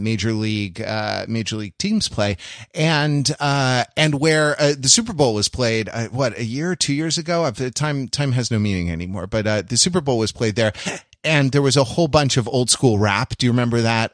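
A man's voice: medium pitch, expressive, moderate pace, a bit bright, no room sound, studio quality. An American man co-hosting a podcast, telling stories casually in a rambling, wry, erudite manner. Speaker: podcast co-host. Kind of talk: casual conversational anecdote telling.

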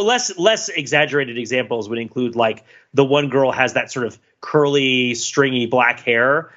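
Bright, chatty American podcast man. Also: mid-range voice, highs cut off. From United States. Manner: animated, laughs often, many fillers and restarts. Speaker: podcast co-host. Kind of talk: casual chat.

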